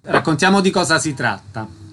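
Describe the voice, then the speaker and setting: A man's voice: on the high side, lively intonation, moderate pace, pushed and bright, a little hiss or hum. A man hosting an arts radio programme, presenting events and asking concise interview questions.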